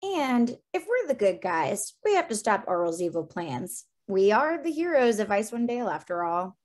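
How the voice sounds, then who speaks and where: A woman playing a kind, optimistic young heroine with an earnest, friendly delivery in an animated fantasy table read. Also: mid-range voice, normal speed, lightly acted. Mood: determined.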